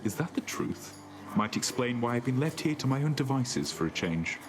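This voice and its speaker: Chummy, animated condemned laborer. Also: male voice, mid-range voice, normal speed. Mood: friendly, cheerful. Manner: chummy, casual, upbeat. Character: easygoing, friendly condemned laborer of the underworld. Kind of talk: video game voice acting. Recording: loud music over the voice.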